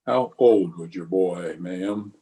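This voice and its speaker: A man plays a steadfast Old West marshal in a radio drama. His voice is fairly low and very slow, with a grave, measured and courteous manner, calm and a little weary. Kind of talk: old-time radio western acting.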